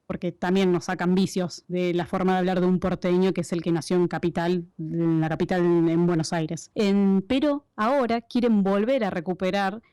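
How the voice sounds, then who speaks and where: A woman's voice: fairly low pitch, flat delivery, moderate pace, few pauses, dark tone, clean tone, dry recording. A middle-aged woman with an Argentine accent, a radio announcer chatting and explaining with many examples as an interview guest. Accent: Argentine Spanish. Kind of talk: casual interview chat.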